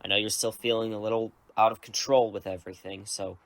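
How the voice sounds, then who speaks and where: A steady male superhero mentor acted by a man in an audio drama. His voice is bright and forward, protective and used to giving orders. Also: fairly low pitch, fast, vocal fry. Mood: businesslike.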